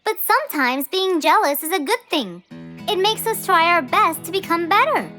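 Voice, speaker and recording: A little girl animal in a kids' cartoon. The voice is very high, bright and swooping, earnest and wide-eyed throughout. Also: animated, normal speed, soft background music, heavily acted. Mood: curious. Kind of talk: children's cartoon voice acting.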